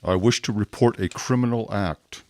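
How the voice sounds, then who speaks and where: An actor playing a rigid, dutiful police inspector in a radio drama, speaking formally and deliberately with stiff deference. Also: male voice, lowish voice, darkish tone, dry recording, clean studio sound, natural voice. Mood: stiff, self-accusing. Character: rigid, dutiful male police inspector, self-accusing and obsessive.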